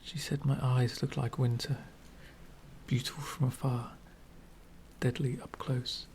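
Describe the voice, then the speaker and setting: A man playing an elegant, centuries-old vampire gentleman, speaking softly and half whispering in a refined, wistful ASMR roleplay. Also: mid-range voice, little pitch movement, slight background noise, close to an everyday voice. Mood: lonely, nostalgic. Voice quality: soft, breathy whispering. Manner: refined, wistful, slow and deliberate.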